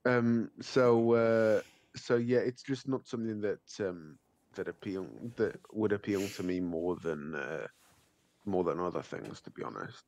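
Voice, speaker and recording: A man's voice: lowish voice, measured pace, a little mellow, dry recording. A man on a gaming podcast, hesitant, with many ums and restarts. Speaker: podcast guest. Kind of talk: casual chat.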